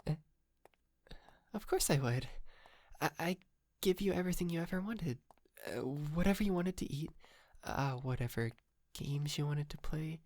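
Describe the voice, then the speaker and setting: A man's voice: a little high, animated, normal speed, darkish tone, dry recording. A man playing a shy, polite, clingy yandere kidnapper in an intimate ASMR roleplay, stammering and apologetic, close to the mic. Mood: eager, shy. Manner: hesitant, stammering, nervous giggles, apologetic. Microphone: close microphone, dry.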